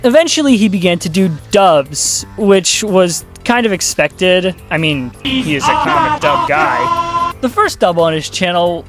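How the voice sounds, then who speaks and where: A man with a fairly high voice talks through casual video commentary. He rambles sarcastically and swears freely, with faint music running behind him. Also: big pitch swings, unhurried. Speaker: YouTube commentator.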